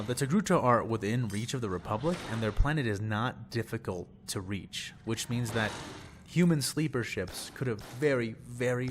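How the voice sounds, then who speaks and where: A young man, slightly hoarse, explaining fictional lore in a rambling, casual way and repeating words for emphasis. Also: lowish voice, slight background noise. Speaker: channel host.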